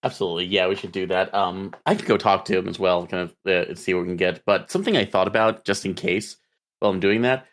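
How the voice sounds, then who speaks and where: A man's voice: low-pitched, steady tone, fast. A man playing in a tabletop roleplaying game, talking casually and thinking aloud a bit tentatively.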